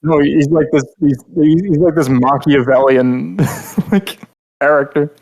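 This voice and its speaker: A man chatting casually on a podcast, wry and halting, with some swearing. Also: on the high side, gravelly, a little mellow, poor audio. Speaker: podcast co-host. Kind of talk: casual chat.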